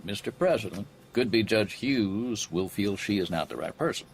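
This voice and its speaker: An actor playing a wry, cautious congressman in an audio drama, with a gravelly voice and an offhand, hedging manner. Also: fairly low pitch, lively intonation, moderate pace. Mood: cautious, uneasy.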